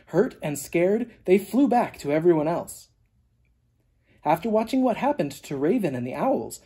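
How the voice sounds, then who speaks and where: A storyteller reading a children's book aloud in an animated, deliberate way with dramatic emphasis. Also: medium pitch, slightly rough. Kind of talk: expressive read-aloud narration for children.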